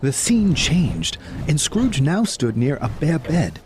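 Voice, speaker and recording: A man narrating a scripted dramatic tale as storyteller, solemn and measured. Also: fairly high pitch, wildly swooping pitch, a little mellow, a little hiss or hum. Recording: music behind the voice.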